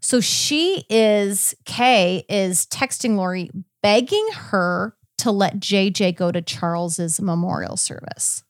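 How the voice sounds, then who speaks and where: A woman's voice: mid-range voice, slow pace, hardly any pauses, no room sound, studio quality. A woman hosting a podcast, chatting casually as she recaps a case and explains it step by step. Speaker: podcast host.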